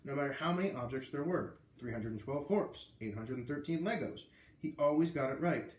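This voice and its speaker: American man, children's read-aloud, casual storyteller delivery, mid-pitched voice, echoey room. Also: expressive, a little fast, a little mellow, a little hiss or hum.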